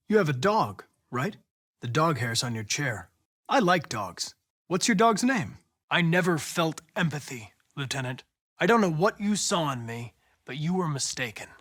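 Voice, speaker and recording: An earnest young male android detective for a video game. His voice sits high and moves expressively up and down. The diction is formal and exact, polite in temper, captured close and dry with no room sound. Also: fairly bright tone, no room sound. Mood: friendly, polite, then formal, serious. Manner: precise, formal, measured diction. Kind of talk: scripted video game dialogue.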